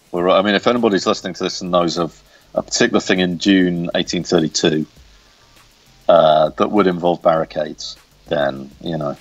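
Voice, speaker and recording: A man chatting as an interview guest, a writer who speaks hesitantly and often searches for words, on a lo-fi recording. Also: low-pitched. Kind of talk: casual interview chat.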